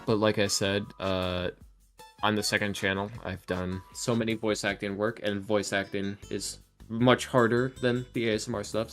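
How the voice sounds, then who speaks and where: A man in his early twenties chatting casually as a podcast guest, rambling along in an informal, slangy way. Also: on the low side. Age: early 20s. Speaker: interview guest, ASMR roleplay creator and voice actor. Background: soft guitar music.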